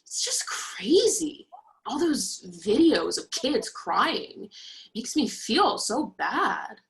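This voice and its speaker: A woman reading the part of a chatty, naive young college roommate in a play, expressive and opinionated. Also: a little high, extremely slow, heavily acted, poor audio. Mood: defensive, naive. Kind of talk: virtual play reading, naturalistic dialogue.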